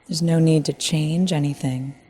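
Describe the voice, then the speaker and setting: Lady doing guided meditation, really low mellow voice, gentle and measured w long pauses. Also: fairly even intonation.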